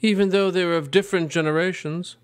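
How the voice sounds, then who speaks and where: A man's voice: high-pitched, fairly even intonation, fast, close to an everyday voice. A man playing a theatrical, mock-genteel, ghoulish host of a horror story show. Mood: mock sorrow.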